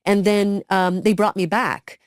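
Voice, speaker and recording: A middle-aged woman co-hosting a casual interview, telling her story in a lively way and acting out the quotes. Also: mid-range voice, measured pace, dry recording, clean studio sound. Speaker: podcast co-host, former cast member.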